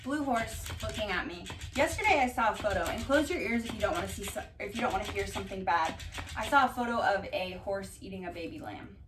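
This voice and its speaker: A woman doing a casual ASMR-style storytime, reading aloud and drifting into dry, deadpan asides. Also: mid-range voice, fast talker, faint music behind. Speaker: cat vlogger reading bedtime picture books aloud. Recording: distant mic, roomy background noise.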